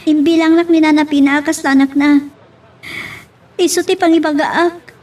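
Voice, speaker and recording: A woman's voice: high voice, steady tone, moderate pace, a little mellow, close to an everyday voice. A woman acting an earnest, distressed, pleading woman in an Ilocano romance radio drama.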